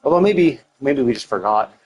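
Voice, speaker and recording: A man's voice: mid-range voice, lively intonation, moderate pace, darkish tone, husky, poor audio. A man giving casual, speculative game commentary as a live streamer, thinking aloud and often rephrasing himself.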